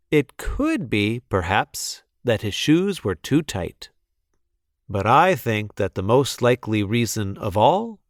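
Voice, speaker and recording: A man reading a children's story aloud with dramatic, deliberate, theatrical emphasis. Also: on the low side, no echo, studio quality. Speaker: storybook reader. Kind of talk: expressive children's read-aloud narration.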